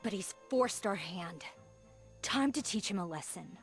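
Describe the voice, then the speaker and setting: A woman's voice: medium pitch, lively intonation, measured pace, faint music behind. A woman voicing an uneasy, resentful female conspirator in a video game, with a dramatic, bitter delivery. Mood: resigned, bitter.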